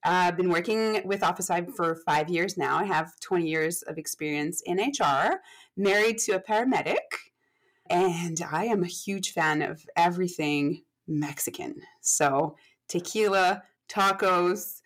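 Lady in her late 30s, chatty podcast guest, slightly low voice, lots of ums and restarts. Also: a little slow. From Canada. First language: French. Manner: chatty, self-deprecating, many fillers and restarts. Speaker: guest, HR expert. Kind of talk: casual podcast chat.

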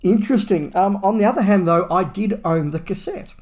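A man's voice: high voice, a little fast, a little mellow. An Australian man in his forties, a podcast co-host giving his opinions in a casual, conversational way. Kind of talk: casual chat, discussion.

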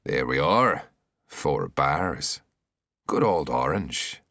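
A man's voice: a bass voice, expressive, drawn-out words, gravelly, sharp tone, dry recording, studio quality, exaggerated voice. A man voicing a cruel, confident gunman enforcer in an audiobook thriller, taunting and commanding without hurry. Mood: gloating. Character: menacing, gloating gunman enforcer, cruel and sure.

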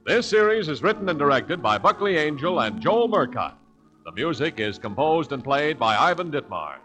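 A polished American male announcer reads old-time radio credits over music. His voice sits fairly high and is very animated and emphatic. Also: moderate pace.

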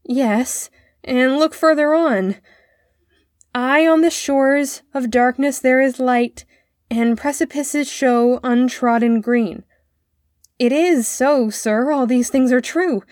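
A woman voicing an earnest, excitable young boy full of wonder in a storybook reading. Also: high voice, slow pace, no room sound, studio quality, pitched above the performer's own voice. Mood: emotional, earnest.

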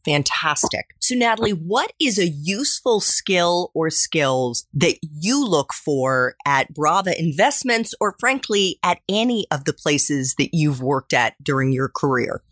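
Female podcast host, peppy and playful, very lively pitch, close dry studio sound. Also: a little slow, few pauses, dry recording. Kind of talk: upbeat scripted intro and interview.

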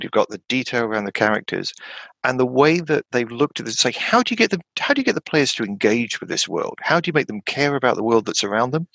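An excitable man talks in gravelly, fry-edged bursts, often stumbling over his words and jumping up in pitch when he gets keen. The sound is close and dry, with no room around it. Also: no echo, poor audio. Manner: enthusiastic, rambling, many restarts and rising bursts of pitch. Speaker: podcast guest. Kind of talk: enthusiastic podcast chat about tabletop games.

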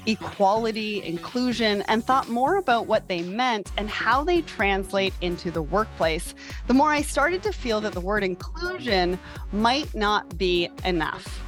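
A woman hosting a panel discussion over a video call, upbeat and enthusiastic. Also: mid-range voice. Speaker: podcast host.

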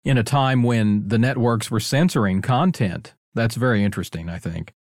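A man's voice: medium pitch, normal speed, no room sound. Dry-sounding close-up male interview host. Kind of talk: casual conversational interview.

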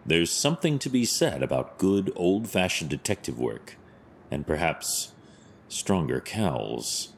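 Brooding masked hero, rock-bottom pitch, terse, solemn, radio-play delivery, light ambient noise. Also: male voice, sing-song, measured pace, exaggerated voice. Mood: measured, gentle. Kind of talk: audio drama acting.

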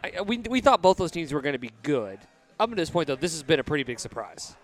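A man in his twenties with a high-pitched voice, hosting a casual sports talk podcast. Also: sing-song, fast talker. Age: 20s. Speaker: basketball podcast host.

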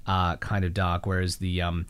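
A man's voice: low voice, completely flat delivery, normal speed, a bit bright, clean tone. A man speaking as the guest in a casual interview, a voice actor talking conversationally about the craft.

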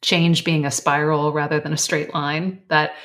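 A woman author and life coach, earnest and reflective as a guest, her voice slightly hoarse. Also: low-pitched, level tone, moderate pace, fairly bright tone. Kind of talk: casual interview chat.

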